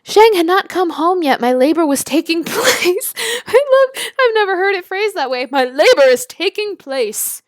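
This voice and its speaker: A young woman casually reading a story aloud, with chatty, expressive, giggly asides as she reacts to it. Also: extremely high pitch, moderate pace, dry recording, studio quality. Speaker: YouTuber reading and reacting to fan fiction.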